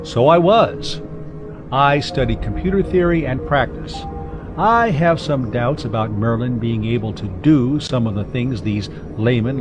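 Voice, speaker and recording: A man voicing an educated, earnest man arguing his belief in measured, articulate words, over music. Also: mid-range voice, lightly acted. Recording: music behind the voice.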